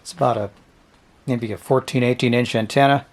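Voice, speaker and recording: A man casually demonstrating products without a script, speaking in an offhand, halting and hedging way. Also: medium pitch, steady tone. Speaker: host of a vintage radio review channel.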